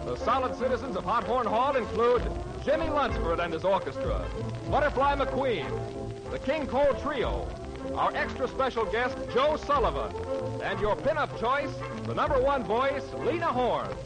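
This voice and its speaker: A man's voice: extremely high pitch, big pitch swings, moderate pace, poor audio. An American man announcing a 1940s radio variety show in showy, jive-talking patter. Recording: vintage radio, loud music behind. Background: loud music.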